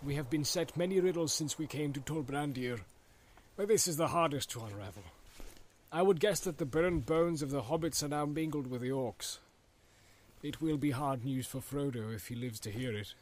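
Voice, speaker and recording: A man reading an audiobook, voicing a gruff, weary male dwarf warrior who speaks bluntly and stubbornly. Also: medium pitch, moderate pace, natural voice. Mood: gloomy. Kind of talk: audiobook character voice.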